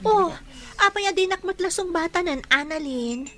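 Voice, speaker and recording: An actress playing an attentive, inquisitive coworker in a radio drama, with a Filipino accent. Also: female voice, very high voice, lively intonation, moderate pace, a bit bright. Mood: concerned.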